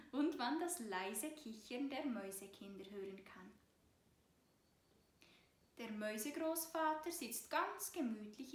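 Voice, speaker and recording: A woman narrating a storybook tale for children as a storyteller. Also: a little high. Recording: roomy, echoing voice recording.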